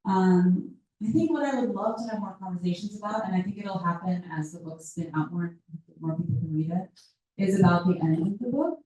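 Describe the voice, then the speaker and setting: A woman answers questions at a book event in a thoughtful, searching way, often pausing to find the right word. Her voice is low and mellow. She sits far from the microphone in a very echoey room. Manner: reflective, searching for words with restarts. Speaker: author in conversation. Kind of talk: casual talk at a live book event. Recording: roomy hall picked up from far off.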